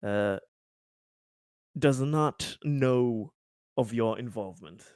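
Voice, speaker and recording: A man playing a cautious official in a tabletop roleplaying game, speaking formally and hesitantly as he deliberates. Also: medium pitch, a little mellow, no echo, studio quality, lightly acted. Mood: wary, probing. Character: cautious, deliberating male official negotiating with an agent. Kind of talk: improvised tabletop roleplay dialogue.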